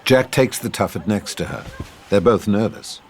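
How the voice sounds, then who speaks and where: A man's voice: on the low side, normal speed, a little hiss or hum. A man reading scripted stage directions as the narrator of a table read.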